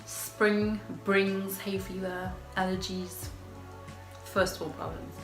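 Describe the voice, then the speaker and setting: A woman's voice: medium pitch, level tone. Nasal, congested woman in an echoey room. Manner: measured, qualifying. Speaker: couple vlogger. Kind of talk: casual chat. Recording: echoey room with background music.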